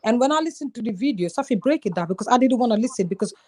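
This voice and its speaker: A woman from Gambia talks with passion on a casual group call. Her voice sits a bit high. She keeps acting out her own past words as she tells the story. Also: animated, rapid-fire, warm tone, a little hiss or hum. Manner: passionate, emphatic storytelling, quotes herself often.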